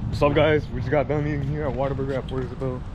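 A man's voice: medium pitch, flat delivery, very fast, background noise. A young man hosting a casual fishing vlog outdoors by the water, playful and joking with viewers. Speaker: fishing channel host.

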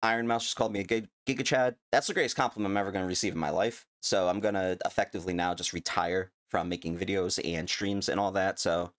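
A man's voice: deep voice, fairly even intonation, quick pace, hardly any pauses, fairly bright tone. A middle-aged man streaming on a headset mic from his home setup, reacting in casual live chat, swearing freely and laughing easily.

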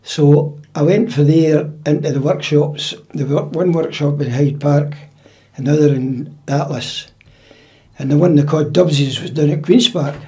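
A Scottish man in his seventies, recalling his working life in a measured, matter-of-fact way during a relaxed interview in a dining room at home. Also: fairly high pitch. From Scotland. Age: 70s. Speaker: interview guest, retired drummer. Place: dining room in a private house.

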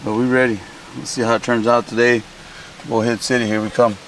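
A man organizing a youth softball clinic, talking casually to his handheld camera outdoors in a loose, upbeat way with plenty of fillers. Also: medium pitch, little pitch movement, brisk, noisy background. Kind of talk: casual vlog narration to camera.

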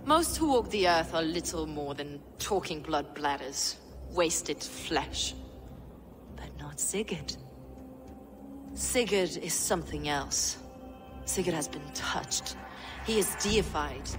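An actress playing a smug, fanatical villain in a video game, mocking and theatrical with false gentility. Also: female voice, sits low, long reverb tail. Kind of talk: dramatic video game acting.

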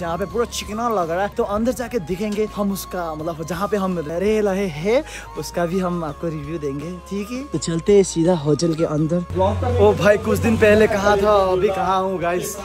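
A young man vlogging casually to camera, chatty and excitable, slightly hoarse from the cold. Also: high voice, moderate pace, music in the background. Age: young adult. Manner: excitable, chatty, addresses viewers as guys. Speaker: travel vlogger. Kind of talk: casual vlog chat to camera. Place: outdoors at night, hotel, car interior.

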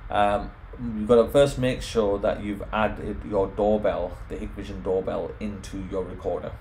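Low-voiced man, informal tech walkthrough, noisy recording. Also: little pitch movement, measured pace, a little mellow. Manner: explains step by step. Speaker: home automation tutorial presenter. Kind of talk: informal software screen walkthrough.

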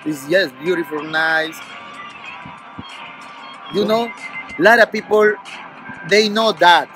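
A man in his thirties from Peru speaks eager, broken English with a Peruvian Spanish accent. His voice sits slightly high. He is recorded outdoors on a phone, with music under him. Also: slow pace, poor audio. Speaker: valet. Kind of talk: street interview. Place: outdoors on a city street.

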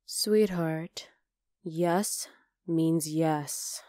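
A woman's voice: sits low, very slow, mellow, no echo, studio quality. A woman voicing a teasing, affectionate young wolf-girl girlfriend in an ASMR roleplay, casual and exasperated but tender. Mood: fond, teasing. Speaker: voice actor in audio roleplay. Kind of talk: ASMR roleplay, acted one-sided dialogue.

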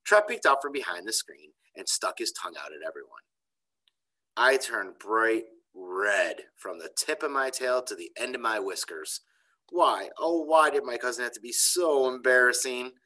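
A middle-aged man reads aloud as an elementary teacher would to children. His voice is bright and forceful, and it rises and falls a lot as he tells the story. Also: medium pitch, expressive, moderate pace. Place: bright indoor school room. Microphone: computer webcam on a video call.

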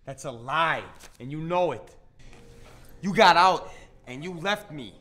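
An actor playing a menacing, jealous ex-convict who talks crudely and confrontationally in a screen drama. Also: male voice, a little high, lively intonation, takes it slowly, pushed and bright, exaggerated voice. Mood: hurt, angry. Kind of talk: naturalistic film drama acting.

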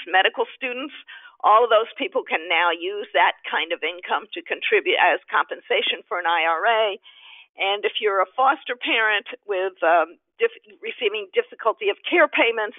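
A webinar presenter explaining slide by slide in a loose, conversational way, often restarting a phrase. The voice sits in a middle pitch. It comes over a thin, phone-like line with a dry sound and no room around it. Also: no room sound. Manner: conversational, with frequent restarts and fillers. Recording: tinny phone-line audio.

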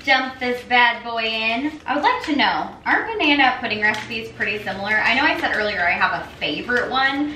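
A young woman vlogs from her home kitchen, chatty and quick to poke fun at herself. Her voice sits a little high. The camera sits back on the counter, so the room echoes around her with a faint hum underneath. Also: expressive, bright, forceful tone. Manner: chatty, self-teasing, talks while cooking. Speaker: cooking vlogger. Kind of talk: casual cook-along chat. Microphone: camera on the counter, at some distance.

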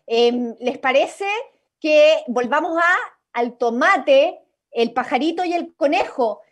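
A woman's voice: sits high, slow pace. A woman leading an improvised radio play workshop on a video call, instructive and encouraging. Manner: encouraging, instructive, acts out example lines. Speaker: workshop leader. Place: indoors at home, on a video call.

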